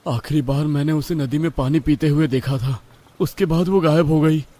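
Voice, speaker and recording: A man's voice: on the high side, normal speed, dark tone, lightly acted. A man playing a poor, honest village herdsman, pleading and deferential, in a horror story. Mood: musing, puzzled. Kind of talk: Hindi horror story character dialogue.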